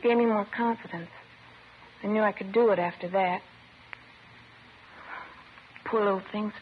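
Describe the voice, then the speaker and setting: A woman's voice: mid-range voice, fairly even intonation, a little slow, natural voice. A woman playing a disturbed teenage girl in a 1950s radio drama, plaintive and childlike, matter-of-fact and wistful. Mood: detached, wistful. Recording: dull, noisy old radio recording.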